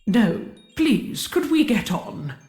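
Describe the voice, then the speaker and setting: A woman's voice: a little high, very animated, takes it slowly, a little mellow, echoey room, soft background music. An actress playing a sceptical middle-aged queen in a comedy audio drama, curt, dry and sardonic. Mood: withering, impatient.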